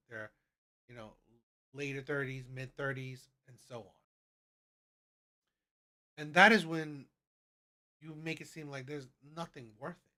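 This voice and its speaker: Man, host, loose halting off-the-cuff commentary. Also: on the high side, fairly bright tone. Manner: rambling, halting, restarts often. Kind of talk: casual reaction commentary.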